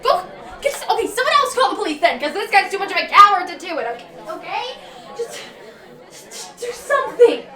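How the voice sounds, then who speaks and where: A woman's voice: very high voice, big pitch swings, a little fast, pushed and bright, exaggerated voice. A woman acting a frantic teenage girl at a party in an amateur audio drama, repeating her words, recorded in a roomy space at a distance. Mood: panicked, scolding. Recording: roomy, distant mic, crowd murmur.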